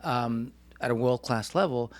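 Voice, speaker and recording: A middle-aged man from Puerto Rico, a guest doctor, speaking in a measured, casual interview manner. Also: mid-range voice. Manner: measured, with frequent fillers and restarts.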